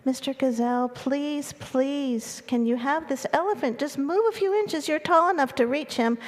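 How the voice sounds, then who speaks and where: A woman's voice: high-pitched, moderate pace, pitched above the performer's own voice, close to an everyday voice. A woman storyteller voicing a small, desperate male mouse who pleads for help. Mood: desperate pleading. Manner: pleading, repeats "please". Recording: echoey hall with long reverb.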